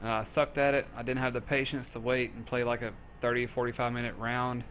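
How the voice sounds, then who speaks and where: Man, Southern US accent, mid pitch, easygoing joker in a casual gaming Q&A. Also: flat delivery, a little fast, a bit bright, slight background noise. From southern United States. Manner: joking, easygoing. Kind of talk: casual group Q&A.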